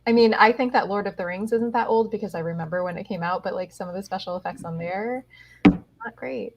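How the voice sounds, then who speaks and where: Husky woman in casual group chat. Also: mid-range voice, brisk, poor audio. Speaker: booktuber on a live readalong panel. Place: live group video stream. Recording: live group video call.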